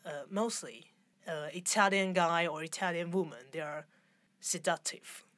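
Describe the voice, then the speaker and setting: Low-pitched Hong Kong woman in interview. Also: steady tone, a bit bright, dry recording. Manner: halting non-native English phrasing. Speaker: interview guest, fashion designer. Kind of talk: conversational interview.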